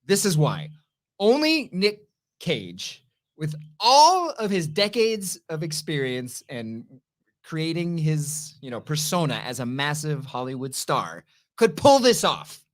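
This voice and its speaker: A man with a very lively, swooping delivery co-hosts a casual review show. He is enthusiastic and emphatic, bantering as he makes his case. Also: a little high, slow pace. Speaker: co-host of an entertainment review show.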